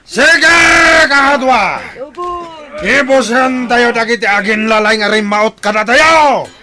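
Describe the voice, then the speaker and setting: A blustering, high-pitched warlike chieftain. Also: male voice, takes it slowly, very noisy. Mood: fierce, commanding. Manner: forceful, blustering, barking orders. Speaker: radio drama actor. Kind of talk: Philippine radio drama acting.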